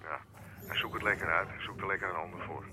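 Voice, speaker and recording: A man's voice: low-pitched, fairly even intonation, quick pace, crowd noise, lightly acted. A man playing a blunt, stubborn henchman in a Dutch radio drama. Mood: blunt, rattled. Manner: matter-of-fact, stubborn. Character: blunt, defiant male henchman.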